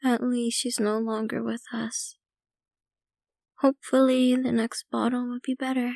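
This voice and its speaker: Slow, creaky, sweetly menacing vampire girlfriend played by a woman. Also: fairly high pitch, little pitch movement, a little mellow, no echo, studio quality. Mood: casually sinister. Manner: unhurried, doting yet possessive, casually sinister. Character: possessive centuries-old yandere vampire girlfriend, sweetly menacing. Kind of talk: ASMR roleplay, intimate scripted monologue to the listener.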